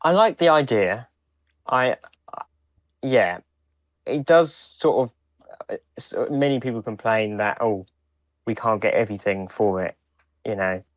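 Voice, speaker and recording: A man co-hosting a podcast, talking casually in a hesitant, halting way with repeated starts. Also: lowish voice, no room sound, dull audio. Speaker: podcast co-host. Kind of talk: casual chat.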